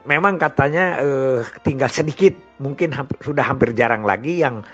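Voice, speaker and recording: An older man with a Sundanese-region Indonesian accent tells his recollections in an informal, rambling monologue. His voice sits at a middle pitch. The recording is somewhat dull and lo-fi, with faint music behind him. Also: normal speed. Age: older, grandfather. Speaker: storyteller.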